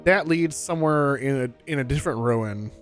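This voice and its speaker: A man's voice: medium pitch, moderate pace. Man rambling casually over game music. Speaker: video game let's-play commentator. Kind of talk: casual chat over gameplay. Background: video game music.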